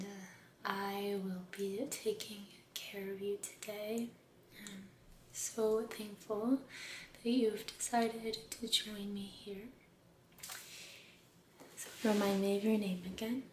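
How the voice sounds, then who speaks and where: A woman speaking softly and breathily, often near a whisper, in a gentle, soothing ASMR role-play as a spa aesthetician. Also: medium pitch, echoey room, slight background noise. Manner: gentle, soothing, unhurried, careful. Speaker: ASMR performer playing a med spa aesthetician. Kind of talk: soft-spoken ASMR personal attention role-play.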